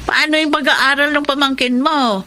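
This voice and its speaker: A woman playing a worried, gentle elderly grandmother in a radio drama, hesitant and fretful. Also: high-pitched, fairly even intonation, moderate pace, noisy background, natural voice. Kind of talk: Tagalog radio drama acting.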